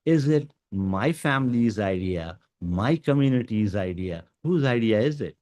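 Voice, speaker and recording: A man whose first language is Urdu gives an educational talk to teachers, with many pauses and fillers. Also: lowish voice, darkish tone. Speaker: guest speaker.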